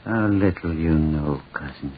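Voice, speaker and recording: A man's voice: rumbling low, a little slow, slightly rough, exaggerated voice. An actor playing a tormented, paranoid old man in a melodramatic 1940s radio drama style, repeating himself desperately. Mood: uneasy, brooding. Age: older. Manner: fraught, melodramatic, repeats phrases. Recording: scratchy, noisy, tinny 1940s radio recording.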